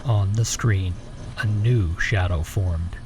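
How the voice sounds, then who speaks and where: A man's voice: low voice, takes it slowly, noisy background. A man narrating a scripted suspense story in a dramatic production.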